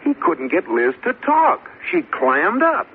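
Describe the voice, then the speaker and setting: Casting: earnest, worried sitcom husband, animated, 1940s radio sound with light hiss. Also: male voice, sits high, slow, full character voice. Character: earnest, anxious young-ish husband, conspiring to help. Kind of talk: 1940s radio comedy acting. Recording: tinny, hissy old radio recording.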